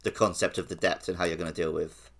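A man's voice: low-pitched, little pitch movement, fast, vocal fry, noisy background. A man speaking casually and informally as a podcast co-host in a gaming discussion.

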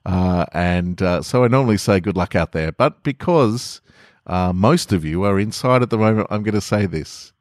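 A man's voice: sits low, dry recording, studio quality. A man hosting a podcast, speaking casually and earnestly to his listeners. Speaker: podcast host and storyteller. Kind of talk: casual podcast outro with scripted story reading.

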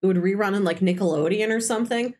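A woman's voice: mid-range voice, fast, a little mellow. A woman co-hosting a casual film discussion, explaining things in a rambling, conversational way peppered with "like". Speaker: podcast co-host. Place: indoors, recorded in person.

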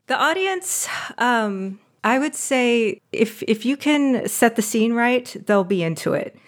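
A woman's voice: a little high, lively intonation, slow pace. Woman chatting away in an interview, laughy, lots of ums and restarts. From United States. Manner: chatty, laughs often, many fillers and restarts. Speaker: guest, audio drama producer. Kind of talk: casual interview talk.

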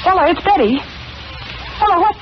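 A woman's voice: very high voice, lively intonation, a little fast. An actress playing an earnest, anxious young woman in old-time radio melodrama, insistent and pleading, repeating her phrases. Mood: frantic pleading. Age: young adult. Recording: dull, hissy vintage radio recording.

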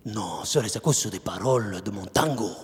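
A man's voice: fairly low pitch, animated, moderate pace, close to an everyday voice. An actor playing an eccentric, punning male concierge poet who talks in a drawling, theatrical way with whispered asides. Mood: mocking. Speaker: interrogated suspect. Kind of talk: theatrical monologue acting. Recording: long reverb with faint music.

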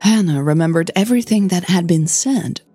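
A woman narrating scripted fiction in a measured, intimate storytelling manner. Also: lowish voice, animated, dark tone, breathy edge, no echo, faint music behind. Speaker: audio drama narrator.

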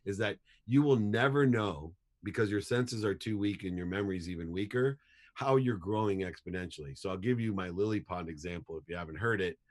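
Brief: man in his fifties, lowish voice, punchy motivational guest who hammers his points home. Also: brisk. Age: 50s. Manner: emphatic, punchy, repeats key phrases for effect. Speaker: guest, entrepreneur and motivational speaker. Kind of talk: energetic motivational storytelling.

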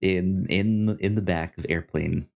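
A man co-hosting a podcast in casual review chat, halting and often stammering over repeated words. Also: sits low, fairly even intonation, creaky, darkish tone. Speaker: podcast co-host.

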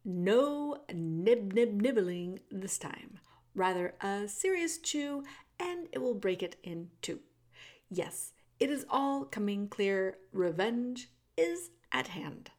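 A woman voicing a sly, scheming male rat villain, hushed and smooth. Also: medium pitch, expressive, takes it slowly.

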